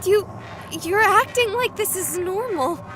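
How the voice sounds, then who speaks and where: A woman's voice: very high voice, expressive, a little slow, music in the background. Sarcastic young streamer girl. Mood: skeptical. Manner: sarcastic, flustered. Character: young woman streamer, skeptical and rattled. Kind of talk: visual novel voice acting.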